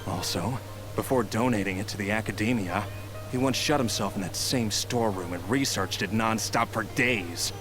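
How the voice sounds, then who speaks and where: An actor playing a bitter, entitled grown son scheming for money in a video game, with a sneering, resentful manner. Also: male voice, on the low side, moderate pace, lightly acted, low-quality recording. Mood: grudging recollection. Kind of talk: English video game voice acting. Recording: music clearly behind.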